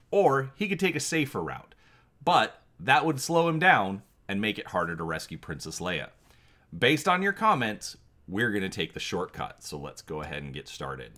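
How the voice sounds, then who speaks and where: A man's voice: on the low side, fairly bright tone. A man hosting his channel, giving a dramatic reading of an adventure gamebook and inviting viewers to comment on choices.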